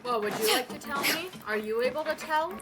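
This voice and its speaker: A woman's voice: high voice, brisk. A woman giving casual, informal game commentary as a streamer, often grumbling. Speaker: gameplay streamer.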